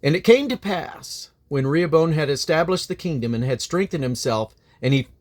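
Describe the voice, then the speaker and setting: A man reading the Bible aloud as a reader, phrasing deliberately and now and then stumbling over names. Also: fairly high pitch, lively intonation.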